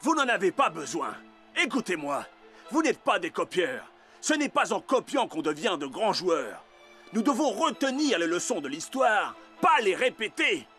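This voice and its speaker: A man's voice: high voice, very animated, normal speed, sharp tone, soft background music, exaggerated voice. A man playing an earnest, commanding football coach giving motivational orders in a cartoon. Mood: stern, rousing. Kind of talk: cartoon pep talk.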